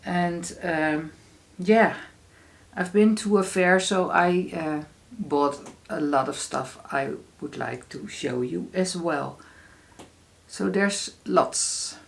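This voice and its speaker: A woman's voice: lowish voice, slow pace, gravelly. A woman from the Netherlands with a Dutch accent, speaking English as a second language as she hosts a casual solo craft podcast, with many fillers and restarts. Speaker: podcast host.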